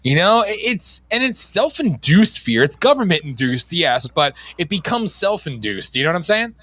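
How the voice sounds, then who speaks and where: Bright-voiced sarcastic man. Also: on the high side, big pitch swings, normal speed, no room sound. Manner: sarcastic, laughs often. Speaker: podcast co-host. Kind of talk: casual podcast rant.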